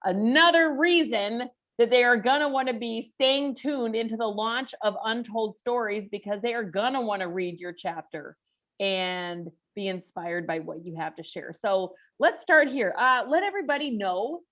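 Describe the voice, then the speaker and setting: A woman's voice: fairly high pitch, moderate pace, lo-fi sound. A woman hosting an interview, upbeat and teasing with her guest. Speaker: podcast host.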